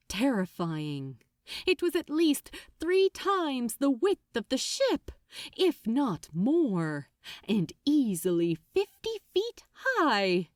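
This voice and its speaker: High-pitched woman, expressive dramatic storytelling. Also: dry recording, clean studio sound. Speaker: fan fiction narrator. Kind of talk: dramatic scripted audiobook narration.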